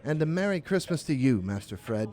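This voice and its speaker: An actor in a radio play playing a meek, kindly office clerk, polite and apologetic. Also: male voice, mid-range voice, big pitch swings, brisk, a little mellow, close to an everyday voice. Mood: worried. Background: guitar music.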